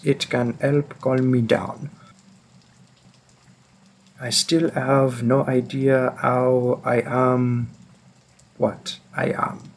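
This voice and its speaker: A man playing a centuries-old, remorseful vampire hunter in an audio drama, speaking in a brooding, halting manner with long pauses. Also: medium pitch. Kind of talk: scripted dramatic monologue in audio roleplay.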